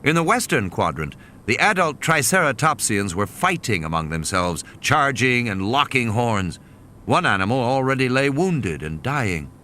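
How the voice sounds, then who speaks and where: A man's voice: medium pitch, big pitch swings, normal speed, pushed and bright, a little hiss or hum. A man reading scripted audiobook narration as the narrator of a dramatic story. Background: background music.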